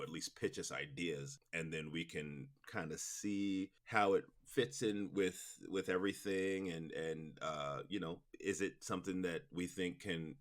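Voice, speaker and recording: Low voiced guy being interviewed, rambles and explains stuff, lots of you knows. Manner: rambling, explanatory, often says "you know". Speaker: interview guest, audio drama producer and director. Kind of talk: conversational interview answers.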